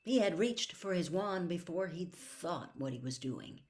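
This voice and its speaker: A woman narrating a story as a dramatic audiobook reader, expressive and deliberate. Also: lowish voice.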